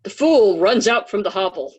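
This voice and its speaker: A woman's voice: mid-range voice, animated, moderate pace, darkish tone, husky, dull audio. A woman giving scripted narration, reading out stage directions for a play.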